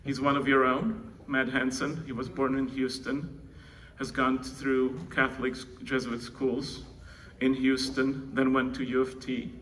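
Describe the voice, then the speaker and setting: A man's voice: mid-range voice, little pitch movement, unhurried, few pauses, a bit bright, distant mic, poor audio. A man from the Republic, giving a conversational keynote introduction at a conference, with restarts and asides to the audience. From Czech Republic. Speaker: keynote presenter introducing his co-founder. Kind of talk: live conference speech. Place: large conference room. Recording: conference room, speaker away from the mic, faint room noise.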